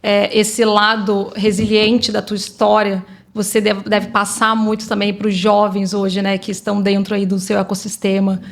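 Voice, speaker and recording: A woman co-hosting a podcast interview, speaking in a thoughtful, measured way as she ties the guest's story to broader lessons. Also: mid-range voice, completely flat delivery. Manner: thoughtful and measured, links the story to youth and soft skills. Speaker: podcast co-host. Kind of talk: conversational interview.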